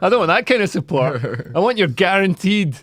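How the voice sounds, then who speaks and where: A man's voice: high voice, big pitch swings, normal speed, fairly bright tone. Scottish man, comedian chatting on a podcast. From Scotland. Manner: rambling, laughs often, fillers like "like". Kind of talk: casual chat.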